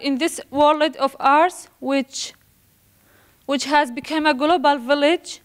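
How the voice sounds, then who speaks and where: A young woman from Iraq with a high-pitched voice delivers a student presentation. She sounds nervous and reads carefully in a formal academic style. Her delivery is halting, and she often restarts words she has stumbled on. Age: young adult.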